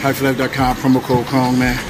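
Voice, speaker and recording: A man's voice: medium pitch, monotone, brisk. A man talking in a casual, slangy, hyped-up way in a fitness vlog, with some swearing. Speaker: fitness vlogger. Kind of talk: casual motivational vlog talk. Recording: music playing behind.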